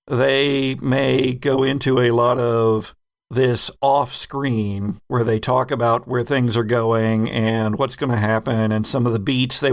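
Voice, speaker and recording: Male podcast host and game master, mid-range voice, informal roundtable conversation. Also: mid-range voice. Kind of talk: casual roundtable chat.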